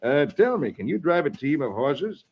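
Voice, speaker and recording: A man's voice: fairly high pitch, big pitch swings, normal speed, slightly rough, full character voice. A man playing a secretive, practical colonial American silversmith in 1940s radio style, confiding and businesslike. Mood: confiding, brisk. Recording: dull, noisy vintage radio recording.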